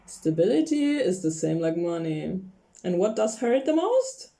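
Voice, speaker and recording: A woman's voice: mid-range voice, creaky, mellow. A woman from Poland, chatting casually through a tarot card reading, laughing often and searching for English words. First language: Polish. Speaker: tarot reader.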